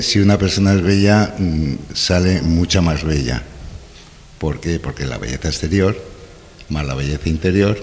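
A middle-aged man with a very low voice talks about painting in a measured, reflective way. He holds a handheld mic on a TV studio set. A long reverb trails his words. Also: a little mellow. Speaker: portrait painter. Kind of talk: explaining his craft in an interview.

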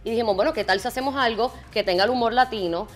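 A woman's voice: fairly high pitch, rapid-fire, soft background music. A Puerto Rican woman in her thirties, an actress chatting easily and laughing as a guest on a TV studio set. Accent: Puerto Rican Spanish. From Puerto Rico. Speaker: actress and t-shirt designer, guest. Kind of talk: casual interview chat.

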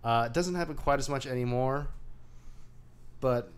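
A man co-hosting a casual movie discussion, recapping a film in a halting, wry way. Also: medium pitch, clean tone. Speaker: co-host of a movie discussion show.

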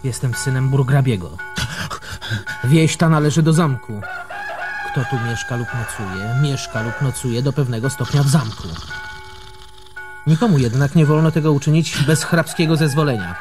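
An actor playing a haughty, bossy young son of a castle steward in a radio play, formal and challenging. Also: male voice, mid-range voice, normal speed, music in the background, natural voice. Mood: haughty, officious. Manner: formal, challenging, self-important. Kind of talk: radio drama acting.

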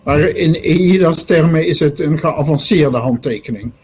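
An older man moderating a question session on a video call, putting brisk technical questions. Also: a little high, darkish tone, breathy edge. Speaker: meetup moderator. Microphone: webcam on video call.

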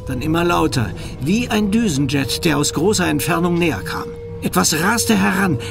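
A male storyteller narrates a radio play with measured suspense. His voice is slightly high and clearly creaky, set over a music bed. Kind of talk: scripted dramatic storytelling.

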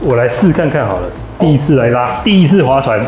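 A man's voice: mid-range voice, expressive, quick pace. Easygoing man in a roomy gym. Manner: curious, easygoing, asks questions. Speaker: vlog host. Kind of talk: casual chat. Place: small indoor office gym. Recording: roomy room with background music.

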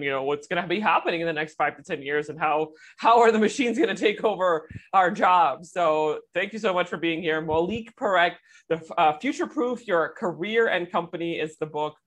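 A woman's voice: fairly low pitch, a bit bright. A woman hosting a podcast interview, agreeing enthusiastically and asking her guest questions. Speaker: podcast host.

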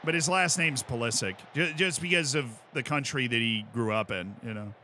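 An American man streaming casually while he plays, explaining things in an animated, rambling way and often checking in with "right?". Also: mid-range voice, moderate pace, slight background noise. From United States. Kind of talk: casual chat while playing.

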